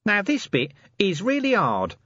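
A cheeky, seedy male watch corporal, acted by a male reader, chatters eagerly in a high pitch. His tune leaps up and down, as in a lively audiobook. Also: measured pace, no echo, muffled recording, full character voice. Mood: chatty. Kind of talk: audiobook character acting.

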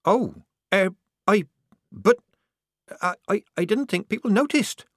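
A man's voice: a little high, huge pitch swings, a little slow, dry recording, clean studio sound, voice pushed up, exaggerated voice. A man voicing a nervous young woman disguised as a lad in an audiobook, hesitant and easily flustered. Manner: quavering, stammering, embarrassed, gruff when bluffing. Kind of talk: audiobook character voice.

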